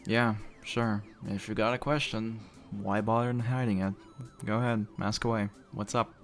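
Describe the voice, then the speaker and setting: Man acting deep-voiced teasing young guy. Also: steady tone, soft background music, lightly acted. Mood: amused, wry. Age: young adult. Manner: teasing, playful, halting and mock-polite. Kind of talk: intimate audio roleplay, casual conversational acting.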